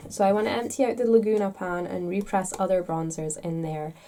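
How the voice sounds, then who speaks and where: A woman's voice: lowish voice, steady tone, a little fast, dark tone, a little hiss or hum. A woman vlogger talking casually to the camera in a chatty, rambling, self-deprecating way, a little congested with a stuffed-up nose. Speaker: makeup vlogger.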